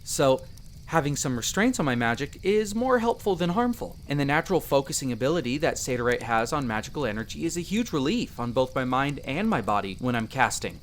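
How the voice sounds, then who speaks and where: Man acting as a young male elf mage, friendly, modest, earnest, mid-pitched, conversational roleplay audio, nature ambience behind. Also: big pitch swings, brisk, slight background noise, lightly acted. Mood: candid, self-deprecating. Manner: conversational, self-deprecating, earnest. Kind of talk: roleplay audio drama, speaking to the listener.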